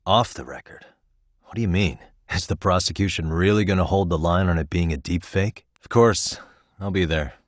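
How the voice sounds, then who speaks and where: A low, dry-recorded voice for an earnest detective in a dramatic video game. He is tough and principled, laying out his words deliberately and questioning with a probing edge. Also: dry recording. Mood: low, serious.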